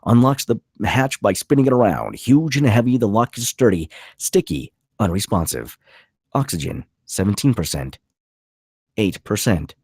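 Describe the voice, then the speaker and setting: Male narrator for a screenplay read: low husky voice, very dynamic pitch, punchy clipped delivery. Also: big pitch swings, normal speed, darkish tone, no room sound, clean studio sound. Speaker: narrator reading stage directions. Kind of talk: dramatic scripted narration.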